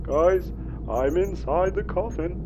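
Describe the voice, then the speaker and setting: An actor playing a hapless, cheerful spaceship crewman in a sci-fi comedy, rambling casually with deadpan asides. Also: high-pitched, slow pace, background noise. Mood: hesitant. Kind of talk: sci-fi audio comedy acting. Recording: roomy echo, faint music behind.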